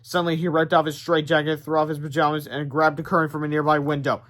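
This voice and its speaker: A man with a fairly high voice narrates a children's book. He tells it dramatically, stumbles on a word here and there, and drops in off-the-cuff asides. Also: flat delivery, fast talker, fairly bright tone. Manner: dramatic storytelling, stumbles over words. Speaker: read-aloud narrator. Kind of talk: animated children's book read-aloud with asides.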